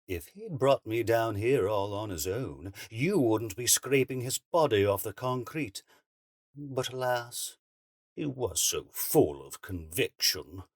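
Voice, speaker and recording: An actor in an audio drama playing a cruel, politely menacing interrogator with a mock-courteous, deliberate delivery. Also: male voice, on the low side, no room sound, studio quality, heavily acted.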